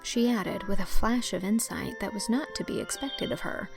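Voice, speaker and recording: A woman narrating a literary audiobook in a measured, articulate storytelling manner. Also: mid-range voice, vocal fry, music in the background.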